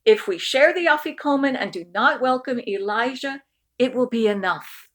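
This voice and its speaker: A woman voicing a cheerful, agreeable older uncle in a storybook, with emphatic, drawn-out exclamations. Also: fairly high pitch, animated, lightly acted. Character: Uncle Murray, cheerful agreeable older man hosting family Seder.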